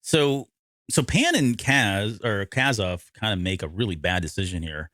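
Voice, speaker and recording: A man co-hosting a podcast, recapping a story in casual, halting conversation and often correcting himself. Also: fairly low pitch, expressive, sharp tone, no echo, studio quality. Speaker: podcast co-host. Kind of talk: casual discussion recapping an audio drama.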